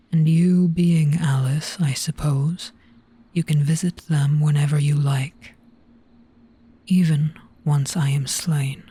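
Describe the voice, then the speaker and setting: A performer voicing a gentle Jabberwock monster, resigned and soothing, in a soft breathy half-whisper for a hypnotic trance roleplay. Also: mid-range voice. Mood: calm, resigned. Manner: slow, hushed, soothing, deliberate.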